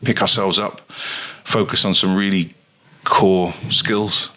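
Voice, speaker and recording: A rugby coach talking casually as a guest, with many ums and pauses. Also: male voice, low-pitched, rough recording. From England. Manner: hesitant, many ums, relaxed. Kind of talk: casual interview chat.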